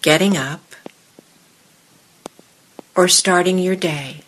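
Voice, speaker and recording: A woman meditation teacher speaking to a group in measured, deliberate short phrases with pauses, her voice husky and breathy. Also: on the low side, fairly bright tone, low-quality recording. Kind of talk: guided meditation and dharma talk to a group in the room.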